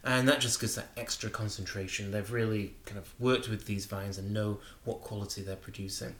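Low-voiced man in an echoey room. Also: steady tone, a bit bright. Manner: measured, explanatory, enthusiastic about wine. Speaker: wine show co-host. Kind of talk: conversational wine news discussion.

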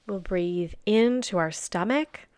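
A woman guiding a grounding meditation, speaking softly and soothingly, with phrase endings that fade into near-whispers. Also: medium pitch, no echo. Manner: soft, slow and soothing, trailing off into near-whispers. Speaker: meditation guide.

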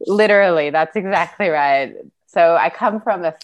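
A young woman with a low-pitched voice tells her story as an interview guest. She is earnest and chatty, breaks into laughter often and drops the odd swear word. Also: moderate pace. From Australia. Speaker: guest, business founder. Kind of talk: casual interview chat.

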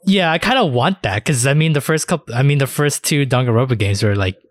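A man's voice: mid-range voice, a little fast, no echo. A man talks casually as a podcast co-host, in a mid-range voice. He chats about games like he is among friends and swears freely. The sound is dry and close, with no room on it. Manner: casually profane.

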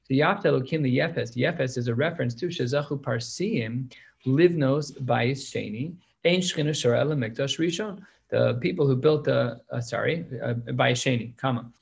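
Gravelly man teaching a text. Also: medium pitch. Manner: reads Hebrew and Aramaic, then explains it in plain English. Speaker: Talmud teacher. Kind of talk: explaining a text, casual classroom talk.

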